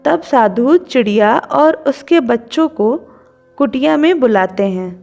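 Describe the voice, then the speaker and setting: Female narrator for children's tales: somewhat high voice, very measured pace, light music bed. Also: lively intonation, vocal fry, a little mellow. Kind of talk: scripted children's story narration.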